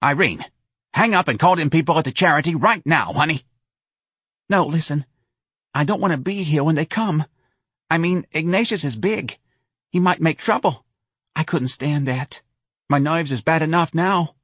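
A man voicing an anxious, pleading older mother from New with a high, quavering voice. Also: moderate pace, long pauses, fairly bright tone, husky, dry recording, clean studio sound. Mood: fretful.

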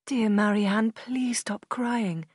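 A sensible, kind sister, played by a female narrator with a mid-pitched voice. She is restrained, earnest and gently reasoning, as in an audiobook of a classic novel. The sound is dry and close. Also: expressive, moderate pace, breathy edge, no room sound. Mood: soothing, concerned. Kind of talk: audiobook character acting in a simplified classic novel.

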